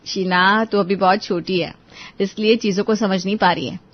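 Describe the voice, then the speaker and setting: A woman voicing a practical, good-natured eldest daughter-in-law in a Hindi animated moral story, explaining in a familiar way. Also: mid-range voice, moderate pace, lightly acted. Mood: resigned. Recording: dull, lo-fi audio.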